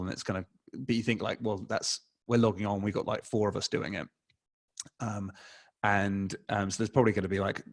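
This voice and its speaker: A man in his forties with an English accent, a guest answering interview questions over a video call, talking casually with many fillers and restarts. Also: lowish voice. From England. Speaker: guest, advertising expert. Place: small room at home, indoors. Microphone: desk mic, video call.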